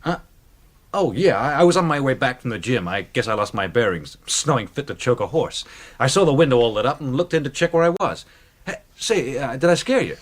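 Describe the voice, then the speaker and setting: An actor playing a brash, excitable American knife-thrower in a radio drama, with slangy, animated talk and an American accent. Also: male voice, medium pitch, fairly quick, lightly acted. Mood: curious, excited.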